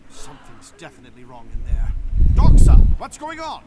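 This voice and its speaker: A cocky little gnomish inventor for a video game. He boasts like a showman in a high, very lively voice. The captured audio is heavily noisy and clicky. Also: moderate pace, exaggerated voice. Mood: alarmed. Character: cocky fast-talking small gnomish inventor, crew leader.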